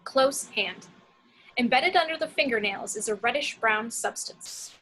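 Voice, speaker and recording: A woman's voice: on the high side, expressive, a little slow, sharp tone, a little hiss or hum, rough recording. A woman reading the action descriptions of a screenplay as a scripted narrator.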